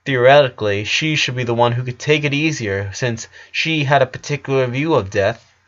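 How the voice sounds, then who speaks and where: A man's voice: medium pitch, normal speed, a bit bright. A man narrating a sad story as a dramatic reading, on a dull, lo-fi recording. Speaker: fan fiction narrator.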